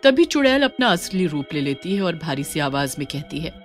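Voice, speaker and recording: A woman's voice: deep voice, big pitch swings, moderate pace, faint music behind. A woman storyteller reading a scripted horror story narration.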